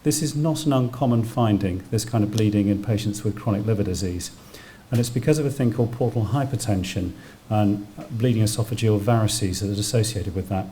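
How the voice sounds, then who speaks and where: A man's voice: fairly low pitch, brisk, hardly any pauses, warm tone, a little hiss or hum. A British man giving a public medical lecture as a doctor, explaining technical terms plainly as he goes. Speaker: doctor lecturing on emergency radiology. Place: indoor public lecture venue.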